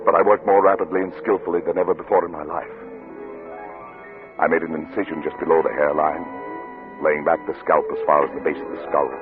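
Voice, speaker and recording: A muffled old radio recording with music carries a grave, troubled doctor. His voice is very low and his delivery is formal and deliberate, the sound of an obsessive middle-aged man in a vintage radio drama. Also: steady tone. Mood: grim, clinical. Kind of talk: 1940s radio drama acting with first-person narration.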